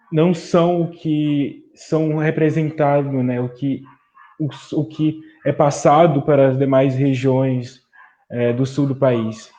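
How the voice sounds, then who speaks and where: A man from Maranhão, a guest, speaking in a measured, reflective way. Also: fairly high pitch, warm tone. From Maranhão, Brazil. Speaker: guest, student activist. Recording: dull lo-fi call audio.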